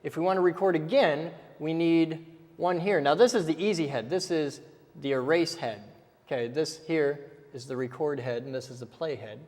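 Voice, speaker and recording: Man, somewhat high voice, casual step-by-step teacher, "okay?" check-ins, long room reverb. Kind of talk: casual technical explanation while drawing.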